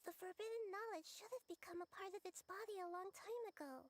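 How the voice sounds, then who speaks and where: A woman voicing a young girl who is a wise, gentle god, speaking softly and earnestly in a video game. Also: extremely high pitch, brisk. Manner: soft, thoughtful and earnest.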